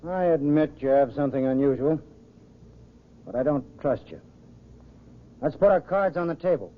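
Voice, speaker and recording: A man's voice: on the high side, expressive, moderate pace, lightly acted. An actor playing a skeptical, streetwise underworld henchman in an old radio crime drama, blunt and slangy. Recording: muffled old radio recording.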